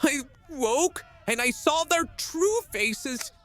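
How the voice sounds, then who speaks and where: Shrill, sobbing madman. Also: lively intonation, takes it slowly, fairly bright tone, faint music behind, heavily acted. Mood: sobbing, desperate. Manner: sobbing, pleading, broken speech. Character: weeping, half-mad condemned townsman, wailing in terror. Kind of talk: audio drama acting.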